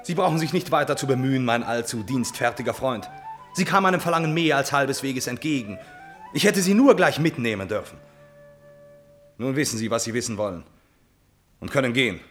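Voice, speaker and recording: An actor playing an impulsive, lovesick prince in a classical drama, haughty yet anxious, with a theatrical delivery. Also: male voice, mid-range voice, a little fast, fairly bright tone, faint music behind, lightly acted. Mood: scornful, haughty. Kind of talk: German classical stage drama acting.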